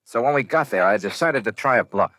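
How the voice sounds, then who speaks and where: An actor playing a shrewd, brisk insurance investigator in an American 1950s radio detective drama, clipped and self-assured. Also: male voice, medium pitch, lively intonation, sharp tone, dry recording, poor audio. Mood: wry narration. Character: shrewd, brisk male insurance investigator narrating his case. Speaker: lead actor and narrator.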